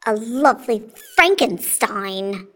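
A woman's voice: a little high, animated, slow, fairly bright tone, heavily acted. A woman voicing a vain, bossy, nasty aunt from a children's storybook, theatrical in her gushing and scolding. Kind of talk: children's storybook character reading.

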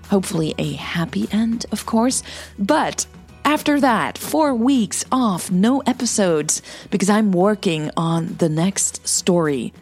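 A woman's voice: mid-range voice, darkish tone, slightly rough. A woman who hosts and narrates a romantic fiction podcast, reading stories and speaking to her listeners.

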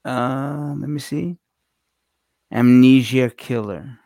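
Very slow, creaky man co-hosting. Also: mid-range voice, mellow, dry recording, clean studio sound. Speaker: radio show co-host. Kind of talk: casual chat.

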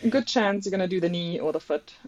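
A woman's voice: lowish voice, fast talker, darkish tone, lo-fi sound. A woman from Germany with a German accent, a native German speaker, talking casually as a researcher guest in a good-humoured, self-deprecating way. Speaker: podcast guest, researcher and PhD candidate. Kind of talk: casual interview talk.

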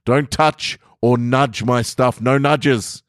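A man voicing an angry, pedantic male housemate in an audio short story, accusatory, halting and emphatic. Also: mid-range voice, fairly even intonation, no room sound, studio quality, pitched above the performer's own voice, natural voice. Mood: outraged, insistent.

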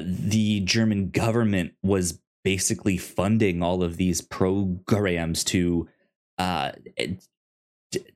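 A man co-hosting a podcast in casual review chat, halting and often stammering over repeated words. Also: on the low side. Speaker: podcast co-host.

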